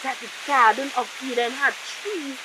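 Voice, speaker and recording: A woman's voice: sits high, moderate pace. A woman hosting a children's story time, coaxing and questioning young listeners, recorded outdoors. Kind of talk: animated storytelling chat with children. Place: outdoors by a big tree. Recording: hissy, very noisy outdoor recording.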